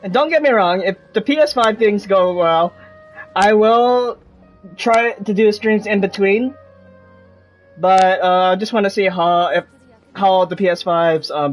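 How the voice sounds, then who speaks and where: Higher-pitched man rambling over game music. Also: poor audio. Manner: rambling, trailing off mid-thought. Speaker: game streamer. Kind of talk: casual gameplay commentary. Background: game music behind the voice.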